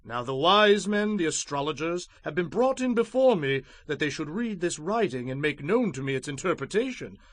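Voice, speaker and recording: A man's voice: on the high side, big pitch swings, normal speed, few pauses, no room sound. An actor playing an imperious, anxious ancient king in a scripture drama, theatrical and commanding. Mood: imperious, agitated.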